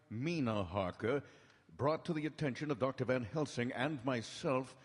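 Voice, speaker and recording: An actor playing a grave doctor, recounting horrors from his journal in measured, dramatic radio-drama phrasing. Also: mid-range voice, breathy edge. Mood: formal, measured. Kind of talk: live radio drama narration in 1930s-40s manner. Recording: live stage broadcast in reverberant hall.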